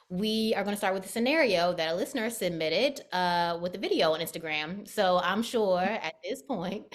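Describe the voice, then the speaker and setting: Upbeat woman hosting a dating podcast. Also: mid-range voice. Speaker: podcast host. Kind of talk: casual panel talk, guiding questions.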